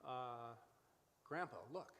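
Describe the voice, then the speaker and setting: A man's voice: mid-range voice, takes it slowly, a bit bright, a little hiss or hum. Older man speaking in an echoey hall. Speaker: host of a church radio variety show. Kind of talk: live interview and scripted reflective monologue. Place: large church room, live before audience. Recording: echoey hall with long reverb.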